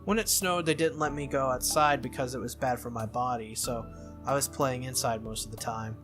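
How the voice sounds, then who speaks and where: A man's voice: medium pitch, steady tone, normal speed, over music, close to an everyday voice. A man voicing an innocent, cheerful little girl from a noble family, childlike and eager, in a visual novel read-through. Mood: earnest.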